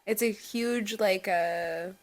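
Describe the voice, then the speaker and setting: A woman's voice: mid-range voice, takes it slowly. Playful woman chatting casually, joking around. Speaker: podcast co-host. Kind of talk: casual chat between friends. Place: indoors on a couch.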